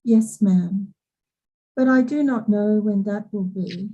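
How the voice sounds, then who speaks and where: An actress plays a proud housekeeper in a period drama. Her medium-pitched voice is dark and husky. She speaks earnestly and deferentially, devoted to the house she serves. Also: slow pace, dull audio. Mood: fond pride. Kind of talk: staged reading of period drama.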